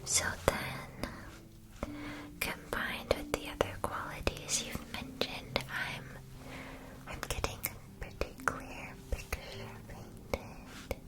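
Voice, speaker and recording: Lady doing soft soothing witch shop keeper asmr roleplay, all whispered, really slow and attentive. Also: natural voice. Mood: calm, attentive. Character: gentle female witch-school shopkeeper presenting familiars.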